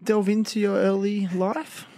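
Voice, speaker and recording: A woman hosting a podcast interview, asking halting questions with repeated words. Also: mid-range voice, flat delivery, mellow, no echo, clean studio sound. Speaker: podcast host. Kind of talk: casual conversational interview.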